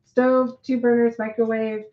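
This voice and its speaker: A woman co-hosting a casual live chat, rambling with many ums and restarts, her voice hoarse and nearly gone. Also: fairly high pitch, level tone, measured pace, dull audio.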